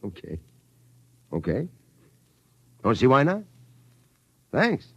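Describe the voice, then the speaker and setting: A man playing a gruff, slangy, down-on-his-luck rocket pilot in a 1950s radio drama. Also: medium pitch, huge pitch swings, takes it slowly, no room sound, highs cut off, exaggerated voice. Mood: brusque, weary. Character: blunt down-on-his-luck rocket pilot running a fairground ride.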